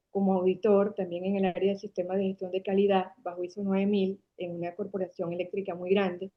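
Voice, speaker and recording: Mujer venezolana de Caracas, sonido opaco. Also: female voice, medium pitch. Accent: Venezuelan Spanish from Caracas. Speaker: guest, marketing agency founder. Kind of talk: interview storytelling. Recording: dull lo-fi sound.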